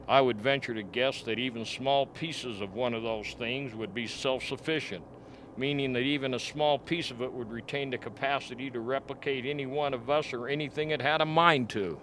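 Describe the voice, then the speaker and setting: A man acting a grim, frank scientist in an amateur radio play, explaining things deliberately. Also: medium pitch, a bit bright, a little hiss or hum, natural voice. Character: grim, frank male scientist doctor at a polar outpost.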